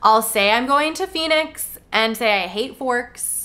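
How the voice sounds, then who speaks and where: A young woman co-hosting a casual book club chat, animated and giggly, breaking into dramatic mock voices. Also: fairly high pitch, unhurried. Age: young adult. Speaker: podcast co-host. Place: indoor room, sitting on a couch.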